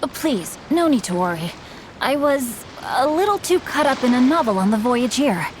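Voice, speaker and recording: A woman's voice: high-pitched, big pitch swings, moderate pace, background noise. A woman playing a polite, bookish teenage young master in a video game, with courteous, eloquent and slightly formal speech. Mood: composed, puzzled. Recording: background music under the voice.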